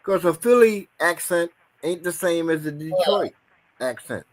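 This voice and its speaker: A man with an American accent, talking in casual podcast banter, blunt and profane, quick to laugh. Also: fairly high pitch, animated.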